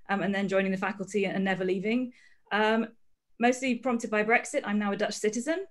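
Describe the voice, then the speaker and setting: Brisk woman introducing herself. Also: medium pitch. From Scotland and Yorkshire. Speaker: panelist. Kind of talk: self-introduction. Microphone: video call.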